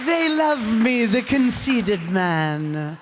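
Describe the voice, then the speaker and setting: A woman's voice: fairly high pitch, sing-song, extremely slow, a crowd in the background, background noise. An American woman, a theater director, making informal, enthusiastic and rambling announcements to a live audience. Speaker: director and actor in a radio theater group. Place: live performance hall. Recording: reverberant hall.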